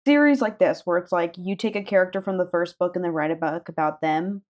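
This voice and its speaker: A woman's voice: on the low side, brisk, a little mellow. A woman co-hosting a casual podcast, chatting in an informal, effusive way. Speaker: podcast co-host. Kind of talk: casual book chat.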